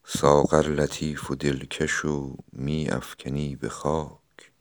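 A man, speaking as a podcast host who presents and explains classical poetry. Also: extremely low pitch. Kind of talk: poetry recitation and informal explanatory talk.

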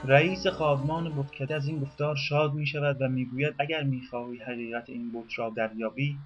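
A man narrating a scripted radio program in a measured, formal way, slowing down to recite classical verse. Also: medium pitch, steady tone, dull audio, over music.

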